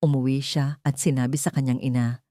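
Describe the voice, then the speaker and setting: A woman's voice: a bass voice, flat delivery, brisk, mellow, no room sound, clean studio sound. A woman reading a scripted dramatic story as an audiobook narrator.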